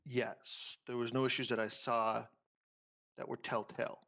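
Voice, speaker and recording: A man's voice: medium pitch, little pitch movement, unhurried, creaky, sharp tone. A man playing a stubborn witness in improvised roleplay, giving clipped, evasive answers. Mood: guarded. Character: guarded, stubborn male witness on the stand.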